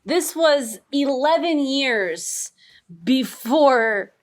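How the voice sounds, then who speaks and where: A woman's voice: high-pitched, lively intonation, extremely slow. A woman improvising a comedic answer on a game podcast, giggly and playful, fumbling words. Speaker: podcast contestant.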